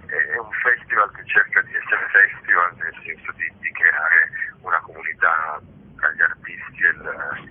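A man giving an explanatory interview as a festival director, haltingly searching for his words. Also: rumbling low, a little hiss or hum. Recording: narrow tinny phone line.

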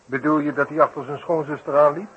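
Pensive sleuth's companion, muffled recording. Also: male voice, a little high, little pitch movement, fast talker, a bit bright, breathy edge. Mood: pensive, inquisitive. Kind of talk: 1960s Dutch radio drama acting. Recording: dull old radio recording.

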